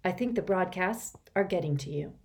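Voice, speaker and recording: A woman reading an audiobook, voicing a steady, level-headed boy who talks calmly and reasons things out. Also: on the low side, normal speed. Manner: calm and reasoning. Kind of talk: audiobook character voice.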